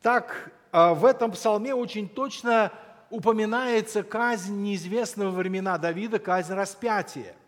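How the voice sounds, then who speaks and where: High-voiced man preaching in a reverberant hall. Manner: solemn, deliberate, with quotations and scripture; frequent throat clearing. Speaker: preacher closing a church conference. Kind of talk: sermon.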